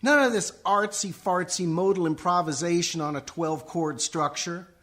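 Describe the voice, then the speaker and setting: A woman's voice: fairly low pitch, moderate pace, close to an everyday voice. A woman playing an earnest, defiant grown son who wants to be a country singer, acted melodramatically for a comic radio play. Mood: melodramatic.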